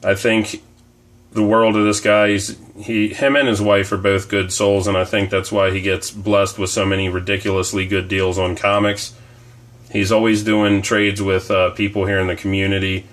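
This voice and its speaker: A man with a slightly low voice, hoarse from fighting off a cold. He chats loosely to camera in a casual vlog, wandering through his thoughts with plenty of ums and uhs. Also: fairly bright tone. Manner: rambling, conversational, frequent ums and uhs. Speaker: comic collecting vlogger.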